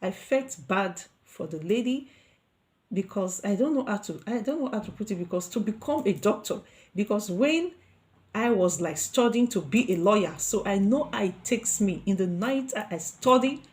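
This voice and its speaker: A woman's voice: medium pitch, animated, moderate pace, a little mellow. A middle-aged Nigerian woman giving an earnest motivational talk to her viewers, repeating herself with many fillers and restarts. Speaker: vlogger.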